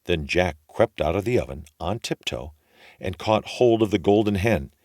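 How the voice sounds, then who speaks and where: Casting: male fairy tale storyteller, deep register, lively intonation, folksy colloquial delivery. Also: moderate pace, no room sound, clean studio sound. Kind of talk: animated children's fairy tale narration.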